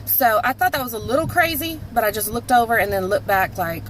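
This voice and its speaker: A woman's voice: fairly high pitch, animated, a little fast, heavy background noise. A woman in her late thirties from North Carolina with a Southern accent, telling a story in a chatty, emphatic way with lots of "like" fillers. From North Carolina, USA. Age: 30s. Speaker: vlog host. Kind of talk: casual storytelling monologue.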